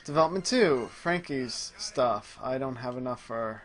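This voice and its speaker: A man's voice: medium pitch, animated, unhurried, fairly bright tone, faint music behind. A man casually chatting and commenting as he plays a video game, with dry, joking sarcasm. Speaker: host playing a video game on a gaming show. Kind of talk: casual chat and gameplay commentary.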